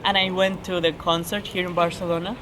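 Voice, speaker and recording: A woman's voice: lowish voice, level tone, fairly quick. An eighteen-year-old woman hosting a casual Q&A, chatty and giggly, with loose English grammar. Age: teens. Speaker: channel host. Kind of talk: casual Q&A chat. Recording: noisy background hiss.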